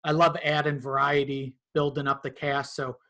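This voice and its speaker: Man, podcast guest, casual chat, informal and a bit sweary, medium pitch. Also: flat delivery, moderate pace, bright, forceful tone. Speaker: podcast guest, horror audio drama creator. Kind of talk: casual chat about own work.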